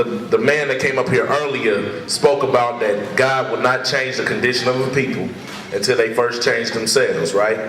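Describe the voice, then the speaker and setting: Man, from Richmond California, youth worker, casual talk to an audience, relaxed and chatty, echoey hall, far mic, crowd. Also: medium pitch. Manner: relaxed, conversational, many fillers and asides. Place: large indoor hall with an audience. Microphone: far camera.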